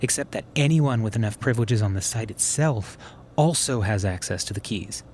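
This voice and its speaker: An actor playing a sharp, methodical schemer who explains things conversationally in a naturalistic audio drama. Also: male voice, lowish voice, normal speed, slight background noise, close to an everyday voice. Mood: explaining intently. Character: sharp, methodical male schemer plotting revenge on a swindler.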